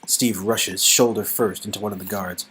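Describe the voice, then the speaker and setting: A man's voice: fairly low pitch, steady tone, moderate pace, a bit bright, slightly rough, rough recording. A man narrating an interactive game story in a dramatic storytelling style, with music behind him. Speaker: narrator and co-performer.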